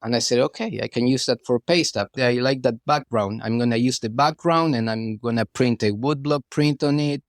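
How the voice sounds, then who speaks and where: A man's voice: medium pitch, normal speed, hardly any pauses, no echo, studio quality. Argentine man, loose rambling chat. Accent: Argentine Spanish. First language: Spanish. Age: middle-aged. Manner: loose, rambling, restarts phrases, says "you know" often. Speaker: guest artist. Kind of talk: casual interview chat.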